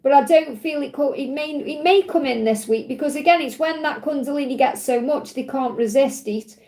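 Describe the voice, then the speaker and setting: A woman giving a live tarot card reading to viewers, her voice husky and tiring, speaking in a soothing, reassuring way that keeps checking in with "okay?". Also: sits high, normal speed, mellow.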